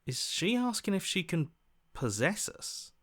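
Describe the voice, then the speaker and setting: A man's voice: high voice, very animated, unhurried, a bit bright, full character voice. A man playing an earnest, hopeful hero's inner voice in a video game, questioning and pleading as he talks. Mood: wary.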